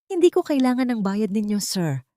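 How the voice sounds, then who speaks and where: A woman narrator plays a humble, wounded maid with quiet defiance. She is soft-spoken and steady, in an audiobook melodrama, with a dry, close sound. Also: medium pitch, moderate pace, dry recording, pitched above the performer's own voice, close to an everyday voice. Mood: quietly defiant.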